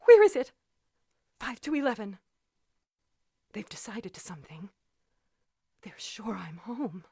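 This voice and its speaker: A woman's voice: fairly high pitch, sing-song, unhurried, mellow, slightly rough, no room sound, studio quality, heavily acted. A woman playing a frantic, self-pitying invalid wife in a 1940s-style radio suspense drama, nervous, demanding and hysterical. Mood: fearful.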